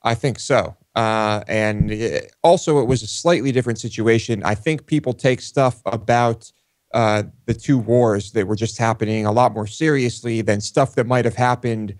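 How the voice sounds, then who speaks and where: A man co-hosting a talk show in casual discussion, with halting, tentative phrasing. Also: mid-range voice, no room sound, clean studio sound.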